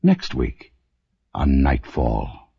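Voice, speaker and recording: Gravelly, sinister male horror host. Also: a bass voice, huge pitch swings, very slow, full character voice. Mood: sinister relish. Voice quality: raspy, gravelly. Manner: theatrical, savouring, menacing. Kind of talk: radio horror hosting. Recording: dull, muffled old radio recording.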